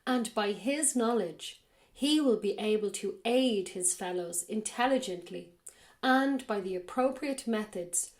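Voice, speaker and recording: A woman hosting a podcast, reading a spiritual text aloud in a slow, measured way and then commenting on it, her voice a little raspy from a cold. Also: mid-range voice, a little mellow. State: has a cold. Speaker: podcast host.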